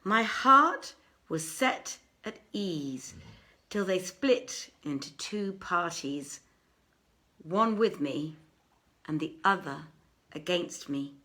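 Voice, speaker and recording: Woman reading an old tale dramatically, slow and deliberate, bright voice that swoops around. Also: lowish voice, lively intonation. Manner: theatrical, deliberate, emphatic. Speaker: audiobook narrator. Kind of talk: dramatic storybook narration of archaic text.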